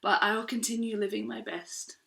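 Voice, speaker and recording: A woman's voice: medium pitch, flat delivery, normal speed, gravelly, bright, forceful tone. A woman in her twenties from Scotland performing a spoken word poem with earnest, rhythmic delivery. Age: 20s.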